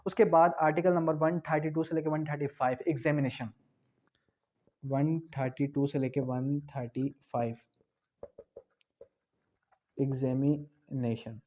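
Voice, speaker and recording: A man's voice: a little high, steady tone, a little slow, long pauses, dark tone. A man teaching law for an exam, asking rhetorical questions and repeating key points. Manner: explains with rhetorical questions and repetition. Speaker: law tutor. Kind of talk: exam-prep law lecture. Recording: dull lo-fi recording.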